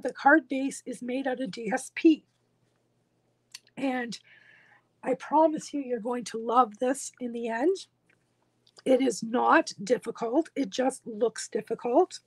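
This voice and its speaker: A hoarse woman with a croaky voice, walking through a craft tutorial step by step in a reassuring, casual way. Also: sits high, slow, long pauses, dry recording, rough recording. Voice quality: croaky, husky. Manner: reassuring, instructional. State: hoarse, losing her voice. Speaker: craft tutorial host, demonstrator.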